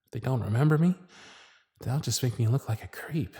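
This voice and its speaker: A man playing a charming, gentle circus magician who is smitten and privately anxious, speaking tenderly and softly in an ASMR romance roleplay. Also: mid-range voice, brisk, slightly rough, no room sound, studio quality. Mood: hushed longing. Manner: soft-spoken, flattering, tender, with hushed asides. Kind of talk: ASMR romance roleplay with intimate inner monologue.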